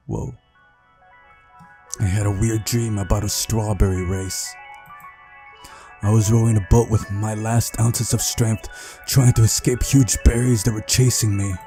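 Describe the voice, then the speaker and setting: A man hosting a game playthrough, reading visual novel narration aloud in a mellow voice. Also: on the low side, fairly even intonation, measured pace, long pauses, faint music behind. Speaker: gaming video host.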